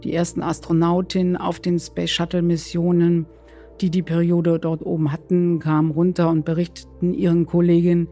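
Woman expert interview, mellow voice, kinda hesitant explaining stuff, faint music behind. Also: fairly low pitch, flat delivery, fairly quick. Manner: hesitant, searching for words. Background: background music.

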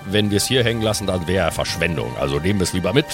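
A man's voice: deep voice, lively intonation, fast talker. A man playing a tabletop role-playing game, chatting casually and improvising banter in character. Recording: music behind the voice. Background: music.

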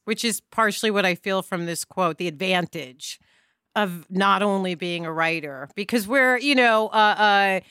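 Bright, clear-voiced woman podcast host. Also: medium pitch, moderate pace, no echo, studio quality. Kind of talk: conversational podcast hosting.

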